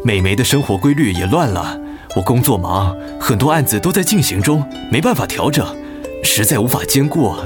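Casting: actor as practical thirties husband, Taiwanese Mandarin, very expressive pitch, radio play with music underneath. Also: male voice, normal speed, lightly acted. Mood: practical, pressing. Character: busy, practical husband and father, concerned but pressing. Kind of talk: dramatic radio play acting.

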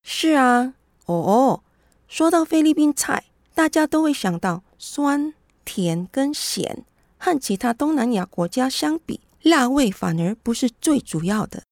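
A woman's voice: sits high, very animated, unhurried, darkish tone, no room sound, clean studio sound, exaggerated voice. A woman playing a kindly, patient mother who explains things in a children's radio drama. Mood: patient, friendly.

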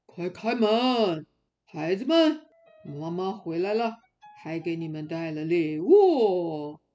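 A woman voicing a sly male big bad wolf in a storybook reading, with a hoarse, ugly voice and a loud, coaxing delivery, in a slightly dull recording. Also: fairly low pitch, very animated, slow, soft background music, voice pushed down.

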